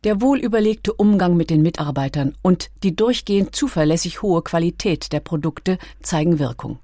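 Woman, deep register, scripted documentary narration, composed, clear articulation, dry studio sound. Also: lively intonation, moderate pace, dark tone, no room sound. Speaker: audiobook narrator.

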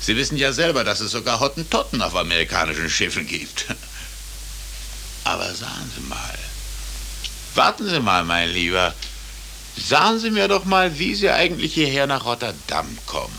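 Sharp, officious consul. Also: male voice, mid-range voice, huge pitch swings, moderate pace, creaky, exaggerated voice. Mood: condescending. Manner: condescending, brusque, bureaucratic. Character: officious, dismissive American consul, condescending and evasive. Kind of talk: 1940s German radio drama acting. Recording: hissy old radio drama recording.

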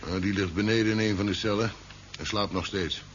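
An actor playing an eager, blustering small-town sheriff in a 1970s radio play, deferential to rank. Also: male voice, on the low side, steady tone, fairly quick, natural voice. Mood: subdued, cooperative. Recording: muffled, faintly noisy 1970s radio recording.

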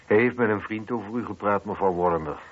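An actor playing a probing, wry police inspector who muses and questions with dry irony in a radio play. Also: male voice, on the low side, fast talker, a little hiss or hum, lightly acted. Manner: musing, questioning, dryly ironic. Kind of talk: Dutch radio drama dialogue. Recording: muffled lo-fi old radio recording.

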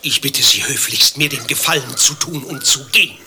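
Bright-voiced, thin-skinned vain adulterer. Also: male voice, medium pitch, moderate pace, a little hiss or hum. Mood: irritated, pleading. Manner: long-winded, self-justifying, easily riled. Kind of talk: German radio play dialogue, satirical.

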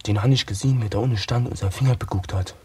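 An actor in a radio drama playing a weary young deserter in hiding, speaking in a subdued, dialect-tinged way. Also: male voice, on the low side, rapid-fire, darkish tone, a little hiss or hum, lightly acted. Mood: subdued, bitter.

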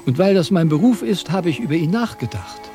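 A self-important philosopher in a theatrical radio play. His voice sits fairly high and swings widely as he declaims and quibbles with serene pomposity. Some music sounds along with it. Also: sing-song, brisk, mellow. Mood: pompous reasoning. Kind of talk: theatrical German radio drama acting.

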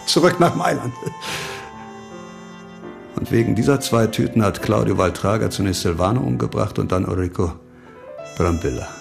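A man's voice: medium pitch, lightly acted. A male actor playing a sober, probing and wryly sympathetic investigator in a radio crime drama, with a terse, dry delivery. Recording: music clearly behind the voice.

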